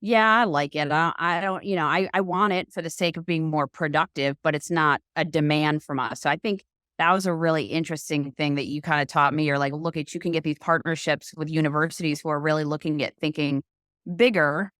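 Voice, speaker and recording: A woman's voice: deep voice, steady tone, fairly quick, fairly bright tone, dry recording, clean studio sound. A woman podcast host talking in a casual, enthusiastic, rambling way with loose phrasing. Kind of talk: casual conversational chat.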